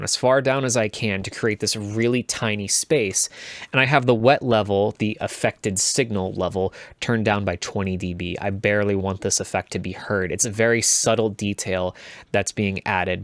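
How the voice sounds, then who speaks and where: A man presenting a casual tutorial as a game sound designer, explaining things in a measured way. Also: lowish voice, no room sound.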